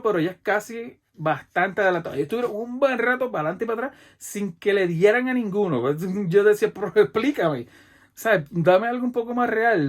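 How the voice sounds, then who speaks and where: A man in his forties with a Puerto Rican accent, excitedly retelling a movie in a casual vlog, acting out gunshots with mouth sounds. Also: high-pitched. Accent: Puerto Rican Spanish. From Puerto Rico. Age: 40s. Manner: animated, imitates gunshots and action with mouth sounds. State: sweaty from the heat. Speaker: vlogger reviewing a film.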